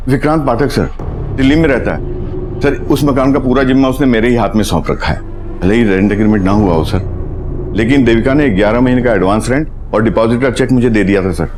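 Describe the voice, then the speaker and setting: A man's voice: mid-range voice, fairly quick, noisy background, natural voice. An actor playing a nervous male property broker who answers police deferentially. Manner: deferential, keeps saying sir. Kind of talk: television crime drama acting.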